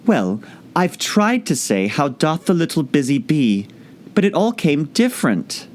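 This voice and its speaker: A man's voice: a little high, very animated, a little slow, darkish tone, slight background noise, pitched above the performer's own voice. A man voicing a polite, earnest and timid young girl in an audiobook, explaining herself carefully and hesitantly. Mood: timid, apologetic. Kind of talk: audiobook character voice.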